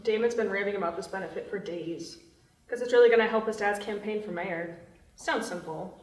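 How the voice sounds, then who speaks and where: Casting: woman acting cocky young female thief, mid-pitched, table-read delivery, roomy and off-mic. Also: a little fast, close to an everyday voice. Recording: echoey room, distant microphone.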